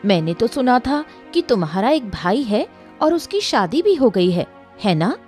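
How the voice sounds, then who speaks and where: A woman's voice: fairly high pitch, sing-song, measured pace, darkish tone. Woman playing inquisitive elder neighbour over faint music. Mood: curious, sympathetic. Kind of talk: acted Hindi audio story dialogue.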